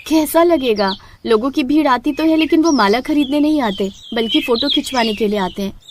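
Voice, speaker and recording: Woman acting young street vendor girl, high voice, plaintive, earnest, fed up, animated storybook. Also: brisk, background noise, close to an everyday voice. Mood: exasperated. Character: young female rudraksha garland seller, earnest, fed up. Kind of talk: animated Hindi moral story.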